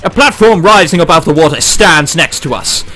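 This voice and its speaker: A man's voice: a little high, expressive, normal speed, sharp tone. A man reading a visual novel aloud for a let's play, breaking off into incredulous, sweary commentary. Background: game background music.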